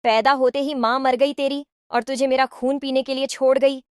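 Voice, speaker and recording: Lady doing a mean scheming cartoon stepmom, high voice, nagging and spiteful. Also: level tone, moderate pace, smooth, dry recording, clean studio sound, natural voice. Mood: angry scolding. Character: cruel, scolding, scheming stepmother, harsh and spiteful.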